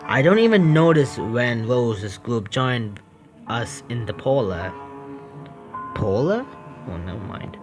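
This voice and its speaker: A male streamer with reads a visual novel aloud at a medium pitch and adds his own commentary. He is halting, often restarting phrases, and faint music sits behind him. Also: big pitch swings. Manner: halting reading with stumbles and self-corrections.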